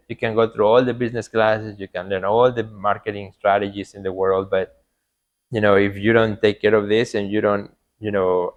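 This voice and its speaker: A man with a Mexican Spanish accent and a somewhat low voice, speaking English as a second language. He talks earnestly in a relaxed interview, circling back on his words with frequent "you know"s. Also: lo-fi sound. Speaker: podcast guest, artist coach. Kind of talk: casual interview talk.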